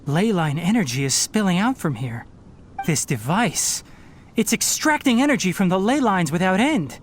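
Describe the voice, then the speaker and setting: Calm analytical young ranger. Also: male voice, high voice, moderate pace, slight background noise. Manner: composed, analytical, precise. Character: calm young male forest ranger scholar. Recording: game audio with faint music.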